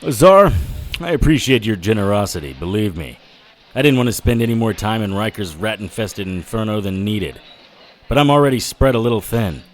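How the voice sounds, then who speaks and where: An actor playing a wry, hardboiled 1940s private eye in a noir radio drama, narrating and trading sardonic lines. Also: male voice, on the low side, expressive, moderate pace, natural voice.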